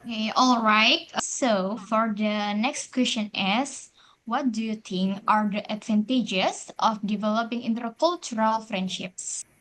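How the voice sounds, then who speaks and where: A woman's voice: fairly high pitch, a little slow, fairly bright tone, a little hiss or hum, low-quality recording. A young woman co-hosting a student podcast, reading questions from a script. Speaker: podcast co-host.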